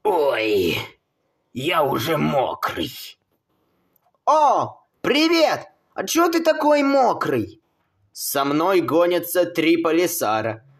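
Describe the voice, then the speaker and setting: Male, high voice, slow and widely swinging delivery, amateur cartoon dubbing with theatrical exclamations. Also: wildly swooping pitch.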